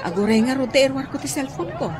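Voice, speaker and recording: A woman's voice: sits high, fast, close to an everyday voice. A woman with an Ilocano accent acting a busy middle-aged acquaintance in a radio drama. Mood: friendly, flustered. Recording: busy crowd noise behind.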